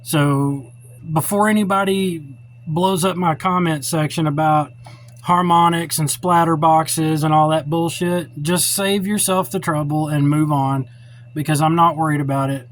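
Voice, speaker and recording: A man's voice: a little high, steady tone, measured pace. A middle-aged man giving an informal, unscripted how-to demonstration in a blunt, opinionated, plainspoken way. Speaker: radio hobbyist giving a tutorial. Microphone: cell phone held or set up while filming. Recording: noisy cell phone recording.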